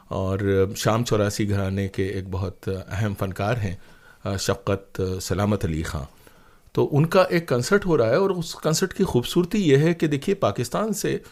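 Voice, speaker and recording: An Indian man with a fairly low voice is the guest in an interview. He tells his stories in a conversational, animated manner, stressing points as he goes. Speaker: interview guest, broadcaster and playwright.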